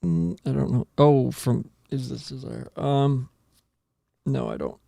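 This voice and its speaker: A man's voice: mid-range voice, steady tone, unhurried, creaky, mellow, no room sound, studio quality. A man hosting a casual podcast, chatting and bantering in slangy speech with plenty of "dude". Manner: slangy, says "dude" often. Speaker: podcast host. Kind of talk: casual banter about music with co-hosts.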